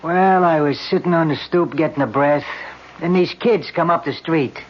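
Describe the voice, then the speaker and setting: An actor playing a plain-spoken working-class street eyewitness in a radio drama, talking in a halting, rambling, colloquial way. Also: male voice, fairly high pitch, natural voice. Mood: earnest, halting. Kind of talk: 1970s radio drama acting. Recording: dull old radio broadcast recording.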